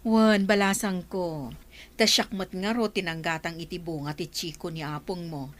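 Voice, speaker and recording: A woman's voice: lowish voice, steady tone, a little slow, natural voice. Friendly older village fruit trader played by a woman. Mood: affectionate. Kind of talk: radio drama dialogue.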